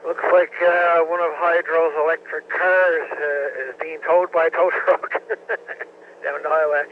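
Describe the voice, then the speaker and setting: A man's voice: fairly high pitch, little pitch movement, unhurried. A man with a Newfoundland accent talking from his vehicle over a mobile radio, laconic with short replies. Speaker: ham radio operator. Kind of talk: casual radio chat. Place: in a moving vehicle. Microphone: mobile radio mic. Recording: dull radio audio with faint vehicle noise.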